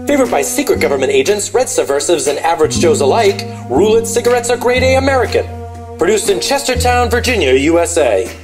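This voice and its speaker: A woman's voice: mid-range voice, big pitch swings, fairly quick, lightly acted. A woman playing a cheery 1950s cigarette advertisement announcer in a parody vintage radio commercial. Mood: upbeat. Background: music.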